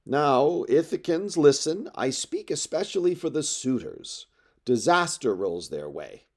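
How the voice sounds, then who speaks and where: A man's voice: medium pitch, very animated, measured pace, voice pushed up. A man voicing a grave old prophet who warns of doom in a solemn, emphatic, oratorical way, reading epic poetry aloud. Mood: solemn warning.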